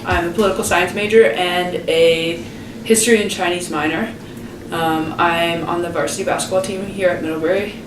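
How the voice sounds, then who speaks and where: A young woman, a college student athlete, recalling an experience in a measured interview. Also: lowish voice, steady tone, music in the background. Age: young adult. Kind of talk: interview recollection. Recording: echoey room from a distance with noise.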